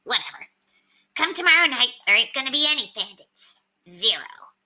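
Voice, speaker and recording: A woman's voice: a little high, big pitch swings, moderate pace, bright, forceful tone, pitched above the performer's own voice, full character voice, rough recording. A woman voicing a chatty, cynical small male rat in a storybook, grumbling and informal.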